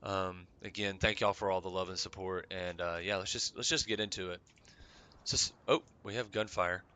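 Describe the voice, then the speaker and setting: A young man with a slightly raspy voice, rambling casually with many ums while commenting on a strategy game. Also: deep voice, steady tone, moderate pace, bright, forceful tone. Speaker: gaming channel host.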